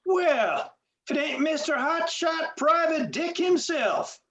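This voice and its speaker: A woman's voice: high voice, animated, takes it slowly, a bit bright, slight background noise, heavily acted. A woman playing a dim, threatening gangster sidekick with tough-guy slang in a campy noir radio play.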